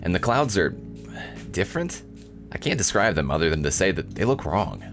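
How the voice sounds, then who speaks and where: An angsty teenage schoolboy hero, played by a man, narrates a visual novel in overwrought, self-pitying style. The delivery swings widely in pitch, with light music underneath. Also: very animated, moderate pace. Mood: bewildered, alarmed. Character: angsty, melodramatic teenage anime schoolboy protagonist. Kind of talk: visual novel read-aloud.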